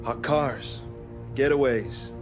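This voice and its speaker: A man's voice: mid-range voice, takes it slowly, over music, close to an everyday voice. A man playing an easygoing, self-assured shady car mechanic in a crime game cutscene. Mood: easygoing, salesmanlike. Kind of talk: game cutscene dialogue acting.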